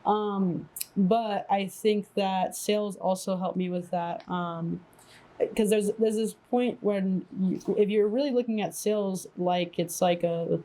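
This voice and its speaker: A young woman hosts a relaxed, unscripted conversation with a mellow, dark tone to her voice. She talks loosely and casually, with plenty of "like" and "um" and some swearing thrown in. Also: mid-range voice, unhurried. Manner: casual and profane, lots of fillers. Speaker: podcast host. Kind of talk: casual chat.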